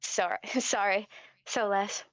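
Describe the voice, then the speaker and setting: A woman's voice: medium pitch, takes it slowly, a crowd in the background. A woman playing a tabletop game, chatting informally in character with a giggly manner. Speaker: player. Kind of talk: casual improvised in-character chat.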